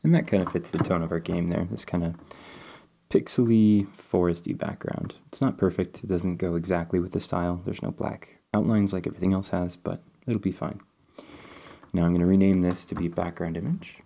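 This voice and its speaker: A man's voice: low voice, fairly quick, creaky, a little hiss or hum. A man talking through a game-development tutorial step by step while working on screen, still a bit sniffly from a cold. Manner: relaxed, step-by-step, thinking aloud with fillers. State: sniffly, getting over a cold. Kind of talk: screen-recorded coding tutorial.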